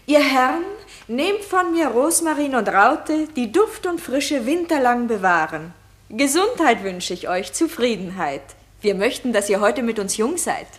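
An actress playing a modest, anxious and gracious young shepherdess in a classical German radio drama. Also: female voice, on the high side, animated, a little slow.

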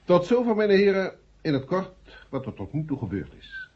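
Husky, pompous chairman. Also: high-pitched, wildly swooping pitch, moderate pace, heavily acted. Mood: stern, formal. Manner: oratorical, emphatic. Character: pompous, sardonic male committee chairman addressing gentlemen. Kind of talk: 1970 radio drama speech. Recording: dull old radio recording.

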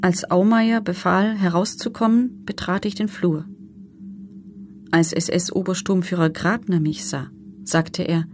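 A woman playing a former camp prisoner who gives sober, measured testimony in a documentary radio drama. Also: lowish voice, steady tone, darkish tone, a little hiss or hum, close to an everyday voice. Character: former female concentration camp prisoner giving grim testimony.

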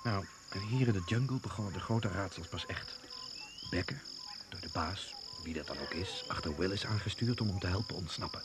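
A man's voice: low-pitched, brisk, over loud music. An actor playing a sceptical, worldly British secret service man in a Dutch radio drama, giving a terse, confiding briefing. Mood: sceptical, puzzled. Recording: noisy old radio play recording.

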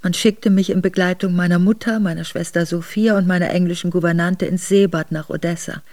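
An actress playing an aristocratic middle-aged Russian woman quietly recounting her memoirs to her son in a radio drama. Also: fairly low pitch, fairly even intonation, fairly quick, no echo, natural voice. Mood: reminiscent. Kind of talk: intimate radio drama narration.

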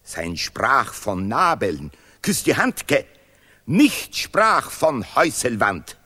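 An actor playing a pompous, self-praising history professor who declaims in deliberately broken German, in a stylised radio play. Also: male voice, medium pitch, huge pitch swings, sharp tone, slightly rough, exaggerated voice. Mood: solemn self-praise. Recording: reverberant hall-like studio sound.

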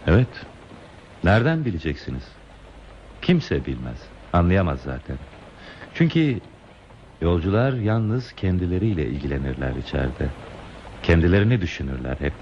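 A man's voice: low-pitched, sing-song, a little mellow. An actor playing a lonely, talkative man who is dreamily devoted to trains, musing poetically in a radio play. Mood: musing, wistful. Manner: musing, poetic, slow pauses in monologue. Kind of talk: radio theatre acting. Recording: dull, lo-fi radio play tape, hissy.

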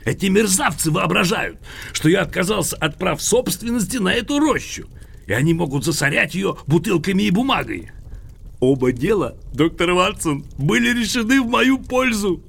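An actor in an audio drama playing a cranky, boastful, litigious old English gentleman, emphatic, pompous and theatrical, with a creaky, husky voice. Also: high-pitched, big pitch swings, moderate pace, exaggerated voice. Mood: gloating. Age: older.

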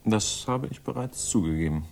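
Low-voiced, resigned gentleman villain. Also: lively intonation, moderate pace, gravelly. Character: cynical, resigned kept husband of an heiress, guilty villain. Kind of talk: 1960s crime radio play acting.

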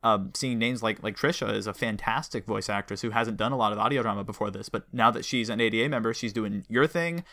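A man's voice: on the low side, quick pace, hardly any pauses, bright, forceful tone. A man hosting a casual, conversational interview in an enthusiastic, supportive manner. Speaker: interview host.

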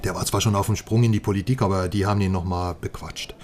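Man playing a low-voiced, chatty, eager sidekick. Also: steady tone, fast talker, darkish tone, slight background noise, close to an everyday voice. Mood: eager, gossipy. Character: chatty, eager male sidekick proud of his research. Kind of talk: colloquial radio crime drama dialogue.

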